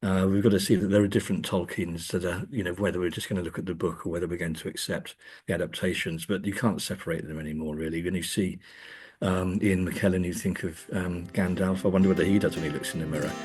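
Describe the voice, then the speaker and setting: A middle-aged man speaking as an academic guest in a reflective interview, with hesitant, thoughtful, measured phrasing. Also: low-pitched, steady tone, a little mellow, husky.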